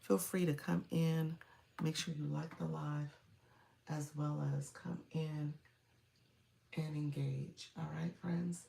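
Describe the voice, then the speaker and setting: Low, gravelly, congested woman streaming live. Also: little pitch movement, slow, dark tone. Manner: chatty, repetitive welcomes with frequent fillers and throat-clearing. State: congested from a cold, froggy voice. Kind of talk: casual live-stream chat to viewers. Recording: roomy echo.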